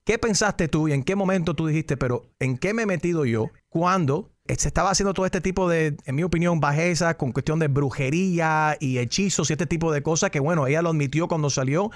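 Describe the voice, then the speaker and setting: A man's voice: a little high, a little fast, hardly any pauses, dry recording. A man hosting a talk show, asking questions formally with hesitant fillers.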